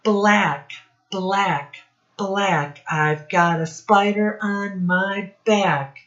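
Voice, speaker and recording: Very slow-paced woman teaching little children. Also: medium pitch, a bit bright, reverberant. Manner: simple questions with deliberate repetition. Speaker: children's teacher and read-aloud host. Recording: dull, lo-fi sound.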